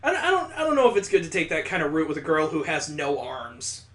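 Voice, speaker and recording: A man's voice: on the high side, animated, fairly quick, a bit bright. Sarcastic man in a roomy room. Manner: sarcastic, incredulous asides. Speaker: let's play host. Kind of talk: reading a visual novel aloud with joking commentary.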